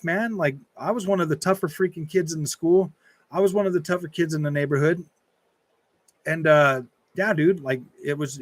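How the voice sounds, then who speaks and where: Rambling man in his forties, higher-pitched voice. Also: no echo. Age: early 40s. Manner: rambling, repetitive, slangy, calls listeners dude, man and bro. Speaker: gaming channel streamer. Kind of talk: casual livestream chat, answering and reading out viewer comments.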